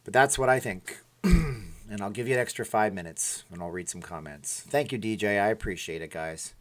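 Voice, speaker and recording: A man's voice: lowish voice, a little fast, fairly bright tone. A tired man hosting a casual livestream, reading out viewer comments and rambling emotionally. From Minnesota. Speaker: livestream host.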